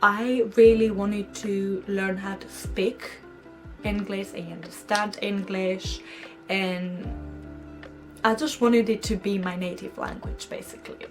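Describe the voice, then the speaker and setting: A woman's voice: mid-range voice, slow, over music. A young woman from Greece with a Greek accent, telling her story in a chatty, giggly vlog to camera. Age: young adult. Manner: chatty, giggly, animated quoting of herself. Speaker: vlogger. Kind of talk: casual storytime chat to camera.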